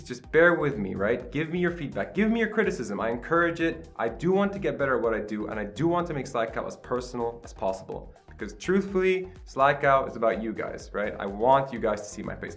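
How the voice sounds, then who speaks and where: A man's voice: medium pitch, a bit bright. A man in his late twenties from Qatar hosts his own channel. He talks to the camera in a casual, upbeat, self-deprecating way and often tags sentences with "right?", on mediocre home-recorded sound. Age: late 20s. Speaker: channel host. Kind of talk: casual vlog talk to camera. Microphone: camera with self-admitted poor sound.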